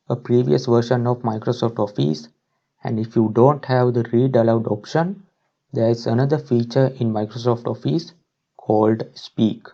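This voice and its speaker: Man with dull-sounding, creaky tutorial voice. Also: mid-range voice, dark tone. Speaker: tutorial presenter. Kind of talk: instructional screen-recording narration.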